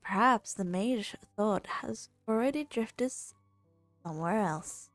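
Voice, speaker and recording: A woman livestreaming a game, reacting casually in halting, murmured asides. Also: medium pitch, animated, dry recording, faint music behind. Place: indoors, streaming over game audio.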